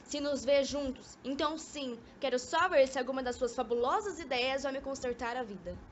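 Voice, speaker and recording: A woman's voice: high-pitched, moderate pace, lo-fi sound, lightly acted. A woman with a Brazilian accent acting an elegant, scornful young lady in an amateur radio drama, mocking and exasperated. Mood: worried. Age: young adult. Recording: noisy amateur recording.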